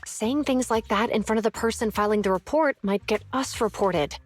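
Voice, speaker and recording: An actress playing an earnest, by-the-book young police officer in a game, speaking briskly. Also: female voice, on the high side, soft background music, close to an everyday voice. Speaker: public security officer, Zhu Yuan.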